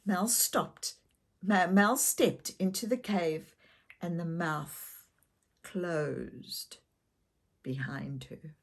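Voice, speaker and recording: Female children's story reader, unhurried and expressive, speaking directly to young listeners. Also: lowish voice. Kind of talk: animated read-aloud storytelling for young children.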